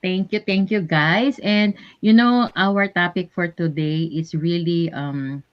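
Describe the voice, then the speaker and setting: A woman's voice: fairly low pitch, slow. A middle-aged woman hosts a live online panel chat. She is bubbly, laughs easily and fills her talk with "you know". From Philippines. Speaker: host. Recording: dull lo-fi call audio.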